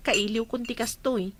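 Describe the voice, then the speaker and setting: A woman's voice: a little high, a bit bright, slight background noise, heavily acted. A woman with an Ilocano accent playing a playful, teasing young village friend in a radio comedy-drama.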